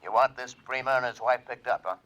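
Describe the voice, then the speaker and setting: An actor playing a gruff, matter-of-fact police detective in a 1940s radio drama. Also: male voice, mid-range voice, quick pace, lo-fi sound, close to an everyday voice. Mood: businesslike. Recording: very noisy vintage radio recording.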